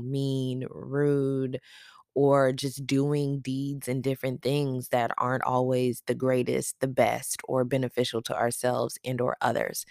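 Faith podcast host with a raspy, gravelly morning voice; chatty, speaks directly to listeners; dry room. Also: mid-range voice, no echo. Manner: chatty, affectionate toward listeners. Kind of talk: casual faith podcast talk.

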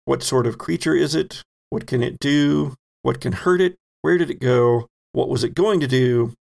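Gravelly man running a tabletop game. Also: medium pitch, moderate pace, a little mellow. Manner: descriptive, playful storytelling with pop-culture asides. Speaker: game master. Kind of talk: improvised narration of a tabletop horror-comedy game.

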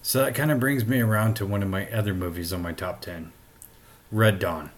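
A man's voice: lowish voice, brisk. An American man in his late thirties, speaking frankly in laid-back casual chat. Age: 30s. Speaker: podcast participant. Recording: faint background noise.

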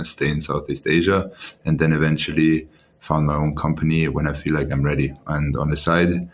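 Very deep man, flat delivery. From Austria. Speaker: student guest.

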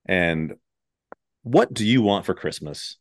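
Irreverent dude hosting a podcast, kinda low voice, casual chat, cusses a lot. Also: male voice, animated, slow pace. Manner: irreverent, sweary. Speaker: podcast host.

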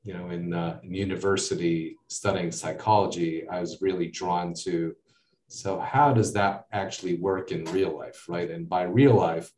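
Low-pitched man, American accent, rambling, many fillers, roomy echo, sits back from the mic. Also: fairly even intonation, moderate pace, darkish tone. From United States. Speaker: guest. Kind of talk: casual interview talk. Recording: echoey room, distant mic.

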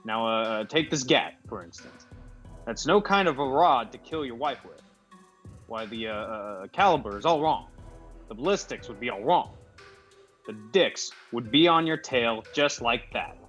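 An actor playing an affable, tough-talking movie gangster star in an old-time radio comedy, with slangy, stammering speech. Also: male voice, medium pitch, lively intonation, measured pace, fairly bright tone. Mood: brisk, conspiratorial. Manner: slangy, clipped, hesitant stammers. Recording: dull lo-fi audio with music behind.

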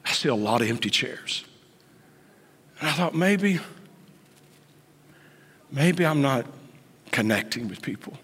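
A man in his late fifties preaches slowly and deliberately, his voice swinging widely from whispered asides to high, ringing peaks. The tone is husky and a little breathy. The sound carries a long church-hall reverb. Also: expressive. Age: 50s. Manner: swings from hushed whispers to sudden high outbursts. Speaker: pastor. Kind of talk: sermon. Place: church sanctuary.

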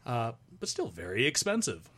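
A man appearing as a guest on a radio show, explaining his company's plans in a measured, businesslike way with frequent fillers. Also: medium pitch, wildly swooping pitch, pushed and bright. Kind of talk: casual radio interview.

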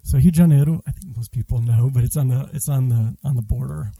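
A man born in Brazil, a school head, answering interview questions in a relaxed way with fillers and restarts. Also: medium pitch, fast talker, gravelly, crowd noise. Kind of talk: casual interview conversation.

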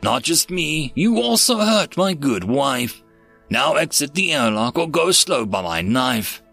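A man's voice: fairly high pitch, big pitch swings, unhurried, creaky, fairly bright tone, faint music behind, heavily acted. A man voicing a vengeful, wounded human father in a science fiction storybook, with menacing, declamatory rhymed speech. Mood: cold vengeance.

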